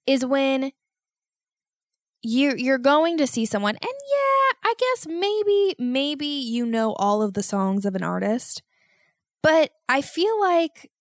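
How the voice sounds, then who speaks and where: A young woman hosting a solo podcast, talking in a rambling, self-mocking way with loud outbursts, and sounding congested. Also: high-pitched, no room sound, clean studio sound. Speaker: podcast host.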